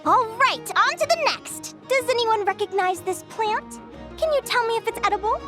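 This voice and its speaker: A woman's voice: extremely high pitch, expressive, normal speed, music in the background. A woman voicing a cheerful young female advisor in a video game, with an upbeat quiz-host teaching manner.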